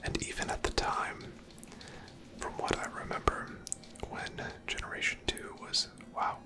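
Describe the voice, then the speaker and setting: A person whispering unhurried ASMR commentary over gameplay in a breathy, unvoiced voice, musing aloud. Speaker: ASMR game streamer.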